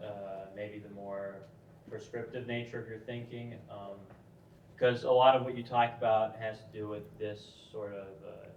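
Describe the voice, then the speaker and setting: A man with a somewhat low voice hesitantly frames an academic question, stopping for ums along the way. He sounds distant from the mic, and the hall reverberates around him. A faint background hiss sits under his voice. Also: highs cut off. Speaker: audience member asking a question. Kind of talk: Q&A question from the floor. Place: lecture hall.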